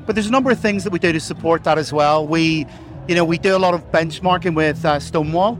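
An Irish man in middle age speaks with a fairly high voice. He sounds earnest and careful, padding his answers with fillers and corporate phrases. He is being interviewed outdoors at a busy festival. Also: fast talker, a little hiss or hum. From Ireland. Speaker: corporate sponsor representative.

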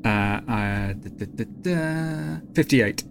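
A man's voice: mid-range voice, slow pace, creaky. A man playing a tabletop roleplaying game, casually talking through what his character does. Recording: faint background noise.

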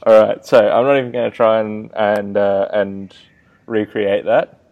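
A man hosting an interview, friendly and quick to laugh, with hesitant fillers. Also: fairly low pitch, fairly even intonation, phone-quality sound. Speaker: podcast host, gym owner. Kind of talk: casual interview chat.

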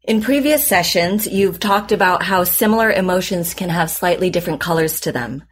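Actress as calm woman therapist, slightly low pitch, husky, measured, clinical, probing, audio drama. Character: composed, probing, professional female psychotherapist. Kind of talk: naturalistic audio drama acting.

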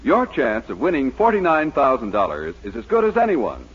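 A man's voice: fairly high pitch, huge pitch swings, moderate pace, breathy edge. A man delivering a scripted advertisement as a 1940s radio announcer. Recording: muffled 1940s radio recording.

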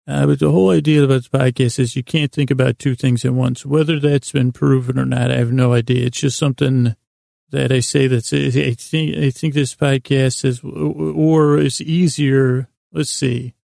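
American guy doing a sleep podcast, super creaky soothing voice, wanders off topic and trips over himself, close dry sound. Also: medium pitch, fairly even intonation, mellow, no echo. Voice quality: lulling, soothing tone. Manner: meandering, halting, digressive, self-deprecating. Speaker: bedtime podcast host.

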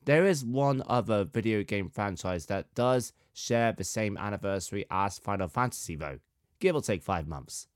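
A man in his thirties, slightly hoarse with a sore throat, hosting a podcast in a measured, articulate, scripted narration style. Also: on the low side, lively intonation, dry recording, studio quality. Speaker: podcast host, composer.

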